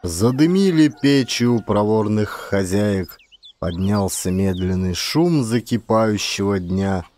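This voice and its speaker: A man narrating a literary story as a dramatized audiobook, with expressive, theatrical delivery. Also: on the low side, slow, a little hiss or hum.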